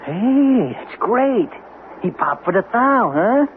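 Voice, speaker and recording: An actor playing a brash, excitable small-time hustler with slangy talk in a radio drama. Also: male voice, extremely high pitch, takes it slowly, full character voice. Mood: excited. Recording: noisy, narrow-band vintage radio recording.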